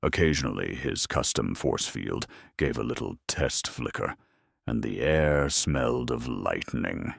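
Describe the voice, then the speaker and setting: A man narrating a scripted dramatic story in a wry, deadpan manner. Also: extremely low pitch, a little slow, gravelly, slightly rough, no echo.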